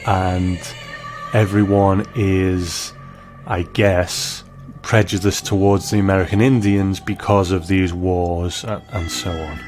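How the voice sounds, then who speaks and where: A man's voice: deep voice, flat delivery, slow, a little mellow. A man giving scripted review commentary as a narrator. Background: music bed.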